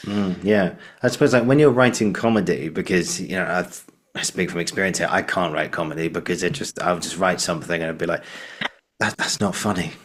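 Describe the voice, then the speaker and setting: Deep-voiced man, halting podcast host. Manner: halting, roundabout questioning. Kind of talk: casual interview conversation.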